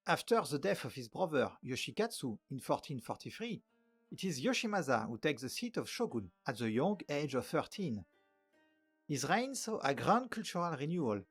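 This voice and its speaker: A man's voice: fairly high pitch, sing-song, normal speed, husky, soft background music. A man from France with a French accent narrating a history video, with wry joking asides. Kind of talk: scripted narration.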